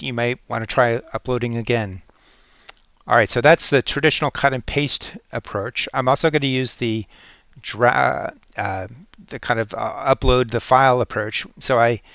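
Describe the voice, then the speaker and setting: A man with a smooth, clear voice walks through software step by step. He explains in a halting, off-the-cuff way. The recording is dry and close, with a slightly dull top end. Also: medium pitch, dry recording. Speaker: software tutorial presenter. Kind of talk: unscripted step-by-step screen tutorial.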